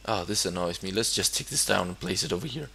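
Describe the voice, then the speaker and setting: A man's voice: low-pitched, creaky, sharp tone, a little hiss or hum. A young man from Denmark with a Danish accent, commenting casually on a building game. English is not his first language, and he hesitates with many fillers and restarts. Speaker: gamer.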